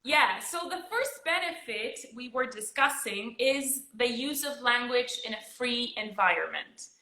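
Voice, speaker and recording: A woman's voice: a little high, lively intonation, unhurried, bright, forceful tone. A woman from Argentina with an Argentine accent, a drama teacher co-hosting an upbeat casual chat over a video call. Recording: roomy video call audio.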